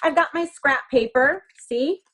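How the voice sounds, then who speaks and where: A woman's voice: high-pitched, measured pace, a bit bright. A woman teacher giving step-by-step craft instructions to young children, speaking simply and encouragingly with repeated reminders. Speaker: elementary school teacher.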